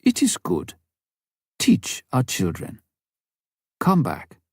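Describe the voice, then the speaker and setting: A man's voice: medium pitch, huge pitch swings, takes it slowly, husky, dry recording, clean studio sound, pitched above the performer's own voice, exaggerated voice. A man voicing a grateful old village leader in an audiobook, speaking in simple, earnest statements. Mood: solemn, grateful. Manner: simple, earnest short declarations. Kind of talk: audiobook character voice.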